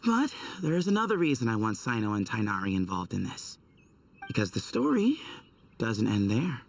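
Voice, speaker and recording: A man's voice: on the low side, wildly swooping pitch, moderate pace, creaky, no room sound, far from the mic, heavily acted. A man playing a confident, easygoing young temple leader in a video game, casual and assured as he explains.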